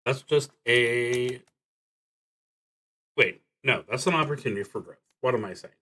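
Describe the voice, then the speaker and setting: A man with a mid-range voice talks himself through a solo roleplaying game. His delivery is very slow and halting, as he reasons aloud and often leaves a thought hanging before picking it up again. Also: medium pitch, a bit bright. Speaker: solo tabletop game player and streamer. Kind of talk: improvised live commentary on a solo roleplaying game, thinking aloud.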